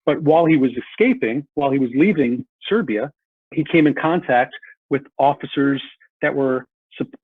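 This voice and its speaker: Earnest guy on a podcast, husky voice, tinny phone-line sound, dry. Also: a little high, no room sound. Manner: earnest, repeats words while thinking. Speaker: guest. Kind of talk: podcast interview, historical explanation.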